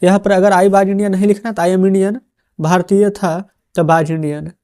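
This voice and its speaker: A man's voice: high voice, flat delivery, moderate pace, mellow. A male teacher dictating a translation lesson word by word, often checking in with his viewers. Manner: dictates the answers word by word, addresses viewers as friends.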